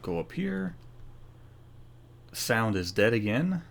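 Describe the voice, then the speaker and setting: A man's voice: lowish voice, sing-song, slow, gravelly. A man giving casual, laid-back, joking commentary as a gaming streamer during a playthrough.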